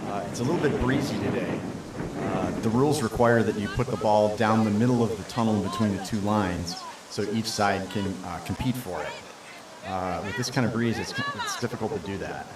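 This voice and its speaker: A man's voice: on the low side, brisk. An American man giving live play-by-play commentary on an amateur match, explaining the rules as he goes. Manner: explains rules to newcomers, fillers and restarts. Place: outdoors at a sports field. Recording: echoey outdoor sound from far off with loud noise.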